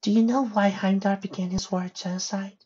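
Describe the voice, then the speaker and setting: A woman voicing a gentle, sorrowful young female pony in a storybook reading, speaking softly and earnestly. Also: mid-range voice, fairly even intonation, slightly rough, dull audio, voice pushed up, natural voice. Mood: somber. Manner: soft, earnest, measured.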